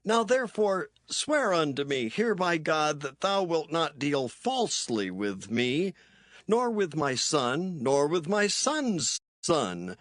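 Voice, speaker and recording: A man's voice: a little high, sing-song, takes it slowly, a bit bright, no room sound, full character voice. A man playing a solemn, insistent king in a dramatised scripture reading.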